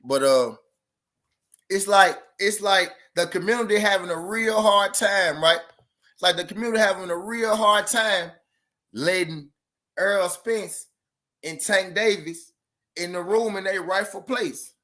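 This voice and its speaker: A man's voice: high voice, a little slow, pushed and bright. A man hosting a boxing channel live stream, talking loosely and profanely and repeating himself. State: says he is high. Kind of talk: casual live-stream talk.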